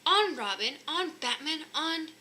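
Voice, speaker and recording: A woman's voice: high-pitched, lively intonation, measured pace, bright, forceful tone, a little hiss or hum, voice pushed up, full character voice. A woman voicing an eager, forgetful young bear boy in a children's storybook. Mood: excited. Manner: eager, hesitant searching for words.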